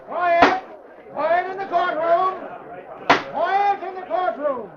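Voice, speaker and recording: An actor playing a stern courtroom official, loud, formal and commanding, in 1940s radio style. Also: male voice, very high-pitched, slow. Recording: muffled, noisy old radio, crowd uproar.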